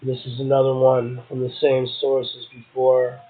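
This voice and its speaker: Through a thin, phone-like line comes a man hosting a poetry show. He reads literary passages aloud and slips into casual commentary. His pitch sits in the middle of the male range. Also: completely flat delivery, normal speed. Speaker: poetry podcast host, poet. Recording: muffled, tinny phone-like audio.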